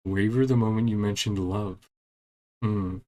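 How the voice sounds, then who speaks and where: A man's voice: on the low side, steady tone, unhurried, warm tone. A man giving casual live commentary as he streams a game, muttering to himself and trailing off.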